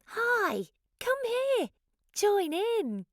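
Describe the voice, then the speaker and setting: Woman doing loud, excitable little dinosaur kids, high-pitched. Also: extremely slow, dry recording, studio quality, heavily acted. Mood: excited. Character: squabbling little young dinosaurs, excitable and bossy.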